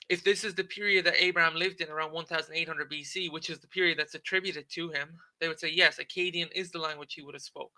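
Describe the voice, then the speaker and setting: A man's voice: a little high, bright, forceful tone, poor audio. A man hosting a livestream, explaining a text in a casual lecture and often checking in with "okay?". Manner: conversational, frequent "okay?" checks and filler "um". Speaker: livestream debate host.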